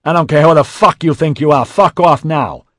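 A man's voice: on the low side, moderate pace, dry recording, natural voice. A man voicing a foul-mouthed, bullying studio boss in a narrated novel, aggressive and mocking. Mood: yelling.

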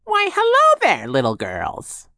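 A man voicing an evil villain who poses as a sugary female receptionist, in a ridiculously high falsetto with a drawn-out, theatrical delivery. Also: wildly swooping pitch, sharp tone, dry recording, clean studio sound, heavily acted. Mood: mock-sweet. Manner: mock-sweet, drawn-out, theatrical.

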